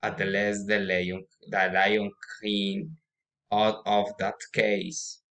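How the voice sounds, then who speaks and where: Male reader, fairly low voice, slow and halting, practising a children's story with repeats and misreadings. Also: level tone, a bit bright. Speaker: student practising reading aloud.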